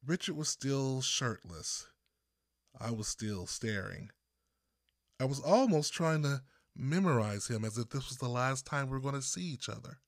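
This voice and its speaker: A man from Texas narrates a first-person story in a mid-pitched voice. He reads slowly and deliberately, leaving pauses between phrases. The recording is dry, with hardly any room sound. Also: lively intonation, no echo. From Texas, United States. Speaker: author reading own audiobook.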